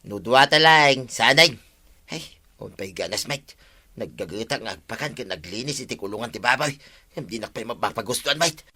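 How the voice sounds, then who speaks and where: A man's voice: medium pitch, lively intonation, normal speed, sharp tone. A man with an Ilocano accent playing a sociable, pleading village husband in a radio comedy drama, talking emphatically.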